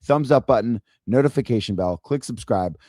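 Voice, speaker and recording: Hoarse, raspy man hosting sports talk. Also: medium pitch, moderate pace, darkish tone, no echo, studio quality. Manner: opinionated, builds points with rhetorical questions. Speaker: podcast host.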